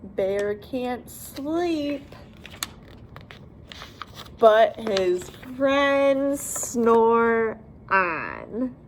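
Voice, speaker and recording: A woman reading a picture book aloud to young children in an expressive, deliberate, animated way. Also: fairly high pitch, a little hiss or hum, poor audio. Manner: animated, deliberate, addresses listeners with questions. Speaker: children's storybook reader.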